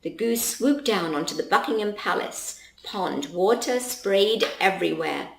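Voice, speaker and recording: A woman narrates a children's storybook at an unhurried pace. Her pitch moves widely and expressively, and the recording has a roomy echo. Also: animated, gravelly.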